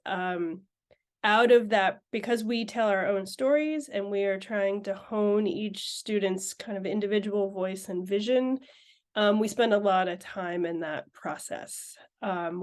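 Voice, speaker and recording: A woman who directs an arts program, giving an earnest, informal overview over a video call, thinking aloud with restarts. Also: medium pitch. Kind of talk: informal presentation.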